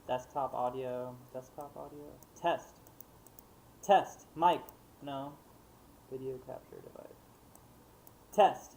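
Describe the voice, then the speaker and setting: Slow, gravelly man muttering over noise. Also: medium pitch, a little mellow. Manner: hesitant, muttering to himself, trailing off. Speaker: livestream host demonstrating animation software. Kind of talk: casual, unscripted think-aloud screen demo. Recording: noisy mic with clicking in background.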